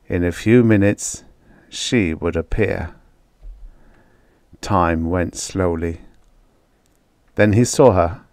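A man with a low voice narrates an old short story in a dramatic storytelling manner. The sound is dry, without room echo, and the delivery is that of a scripted audiobook. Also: darkish tone.

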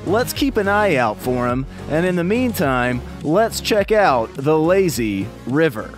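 A friendly young gator from a children's storybook, played by a man speaks in a high voice. He is earnest and casual, a little concerned for his friends, over clear music. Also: big pitch swings, a little slow.

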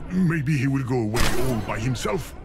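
A man's voice: medium pitch, animated, normal speed, over loud music, lightly acted. A man doing an exasperated game narrator. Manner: exasperated, pleading.

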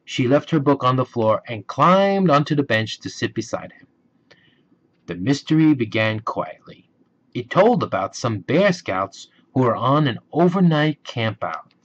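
A man reading a children's bedtime story aloud with deliberate, gentle, dramatic emphasis. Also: medium pitch, big pitch swings, dull audio. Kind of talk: expressive bedtime story narration.

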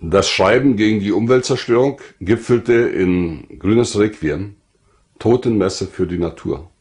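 A man's voice: lowish voice, unhurried, a little mellow. An older man in his sixties or beyond, from eastern Germany, reading a scripted autobiographical narration. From Lusatia, eastern Germany. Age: 60s or older. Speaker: writer and former pastor telling his own story.

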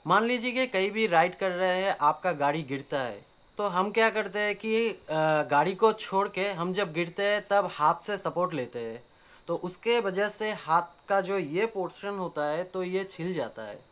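A man's voice: high voice, animated, normal speed, fairly bright tone. A young man whose first language is Bengali, explaining step by step to camera as a motorcycle vlogger, with frequent hesitant fillers. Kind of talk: casual talk to camera. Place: outdoors in a yard, then indoors in a small room.

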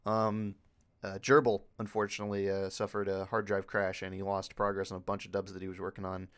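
A man with a low, smooth voice talks casually on a Q&A panel. His tone is clean and resonant, in the manner of an informal group conversation. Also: completely flat delivery, brisk. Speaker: panelist, dubbing group member.

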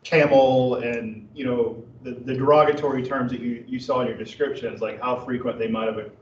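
A man's voice: mid-range voice, fairly even intonation, normal speed. A man in the audience offering a suggestion on method, talking loosely with fillers. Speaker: audience member giving feedback. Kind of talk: academic discussion. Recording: distant, echoey, dull, faint hiss.

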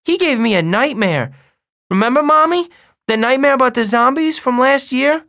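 A narrator plays a little second-grade brother with a very high, swooping voice. He whines and exclaims in childish excitement, as in a children's audiobook. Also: moderate pace. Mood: excited, wide-eyed. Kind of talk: audiobook character voice.

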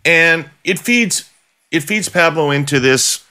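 A man's voice: on the high side, animated, takes it slowly, bright, forceful tone, clean tone. A middle-aged man hosting a podcast interview, pressing his guest with probing follow-up questions over a video call from a home office. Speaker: podcast host. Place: home office with bookshelves, indoors.

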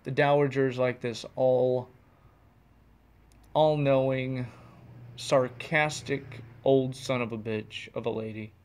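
A man's voice: medium pitch, steady tone, slow pace, long pauses, a little mellow. An American man hosting a podcast alone, chatting casually in a rambling way and often correcting himself mid-sentence, recorded while driving. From United States. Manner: rambling, restarts and self-corrections. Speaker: podcast host. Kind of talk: casual solo chat. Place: in a car, driving.

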